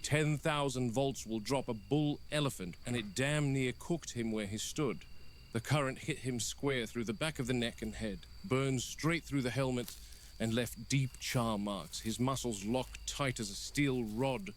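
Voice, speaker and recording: Man playing stern park warden, found-footage horror log, blunt, deliberate delivery, mid-pitched, noisy outdoor night recording. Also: close to an everyday voice. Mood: grim, stern. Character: stern, exasperated male park game warden logging incidents.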